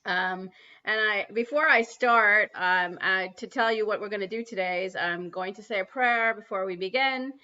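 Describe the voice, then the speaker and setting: Bright-voiced woman on a lo-fi livestream. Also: mid-range voice, moderate pace. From New York City. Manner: earnest, many ums and restarts. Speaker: livestream host. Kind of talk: spiritual livestream talk and guided prayer. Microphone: computer mic on a livestream. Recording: dull lo-fi livestream audio.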